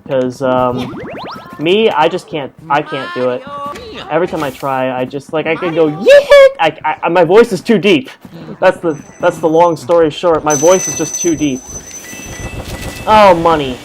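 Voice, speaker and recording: A man's voice: on the high side, sing-song, a little slow. A man giving casual, rambling let's-play commentary through an earphone headset mic, full of fillers and self-deprecating asides. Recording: game music playing behind. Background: game music.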